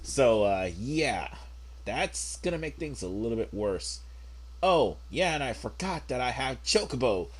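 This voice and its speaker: A young man with a hoarse voice from a cold, giving rambling, laid-back commentary while he plays a game. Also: mid-range voice, big pitch swings, a little slow. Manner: rambling, laid-back, frequent fillers and throat clearing. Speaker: gameplay commentator. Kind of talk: casual let's play commentary. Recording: game music playing behind.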